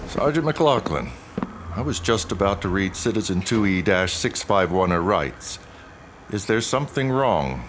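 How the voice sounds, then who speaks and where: A man's voice: low-pitched, animated, unhurried, noisy background. A man playing a stern police lieutenant in an audio drama, speaking in a clipped, official, procedural way. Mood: formal, stern.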